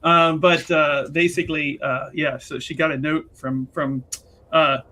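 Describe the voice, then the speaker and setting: A man casually recapping a tabletop game as a player, halting and wryly understated. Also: fairly high pitch, level tone, sharp tone. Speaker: player on an actual play show. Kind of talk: casual recap and character introduction.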